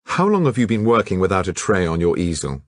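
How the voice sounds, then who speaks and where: Male narrator as courteous inspector, firm, measured. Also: low-pitched, very animated, no echo, voice pushed up.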